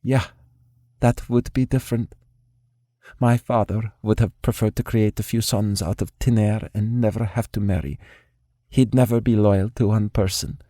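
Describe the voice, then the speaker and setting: A man's voice: on the low side, steady tone, normal speed, mellow, no echo, clean studio sound, close to an everyday voice. A man reading an audiobook, voicing a spirited, blunt young woman in a raised voice. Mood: bitter. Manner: insistent, blunt. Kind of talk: audiobook character voice.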